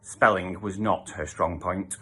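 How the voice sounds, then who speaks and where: A man's voice: sits low, fairly even intonation, a little slow, sharp tone, husky. A man reading a children's story aloud as a theatrical narrator, with emphatic sound-effect words. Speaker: storybook reader.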